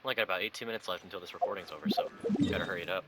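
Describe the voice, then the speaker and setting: Fast-talking low-voiced man gaming. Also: loud music behind the voice. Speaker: gaming video host. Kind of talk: casual gameplay commentary.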